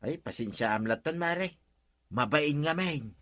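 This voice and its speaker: A man's voice: fairly high pitch, expressive, unhurried, fairly bright tone. A man playing a nagging village husband in a comedy audio drama, chiding with an Ilocano accent. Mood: placating.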